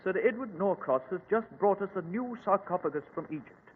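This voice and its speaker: An actor playing an officious, earnest museum director with formal, fussy speech in old-time radio drama. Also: male voice, high-pitched, animated, a little fast. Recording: echoey, hissy, tinny old radio recording.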